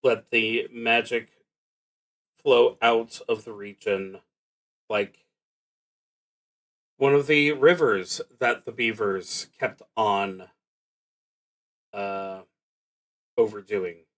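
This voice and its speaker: A man hosting a solo tabletop roleplaying stream, talking casually and haltingly as he thinks aloud, with long pauses. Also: fairly low pitch, fairly bright tone, rough recording.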